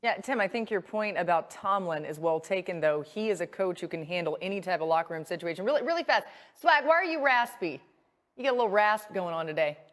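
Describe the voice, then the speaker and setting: A woman hosting a sports talk show in a lively, playful way, reading recaps and throwing to analysts. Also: lowish voice, a little fast. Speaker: host. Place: TV studio.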